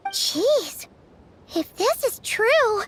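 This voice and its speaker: A woman acting a sharp, expressive adventurer in a video game. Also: extremely high pitch, drawn-out words, slight background noise, full character voice. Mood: troubled.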